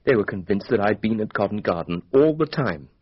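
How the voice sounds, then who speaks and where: An actor playing a young, flippant British aristocrat who is a defensive murder suspect in a radio drama. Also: male voice, on the low side, expressive, a little fast, slightly rough. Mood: confiding. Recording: dull, band-limited radio drama recording.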